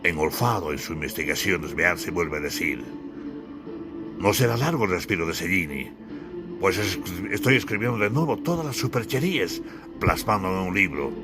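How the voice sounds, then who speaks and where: Low-pitched man narrating solemnly over music. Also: lively intonation, moderate pace. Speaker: author narrating his own audiobook. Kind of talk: scripted audiobook narration.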